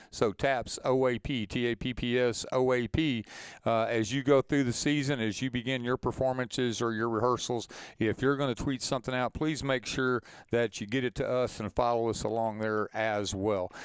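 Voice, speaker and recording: A man's voice: mid-range voice, clean tone, no room sound. A man presenting and moderating a webinar informally, in a conversational but directive way.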